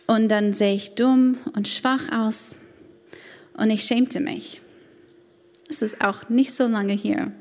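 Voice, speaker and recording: A woman in her thirties from the United States, a native English speaker with an American accent, giving an earnest, careful personal testimony in German at church, with a few grammar slips. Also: on the high side. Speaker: church women's ministry leader. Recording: long hall reverb.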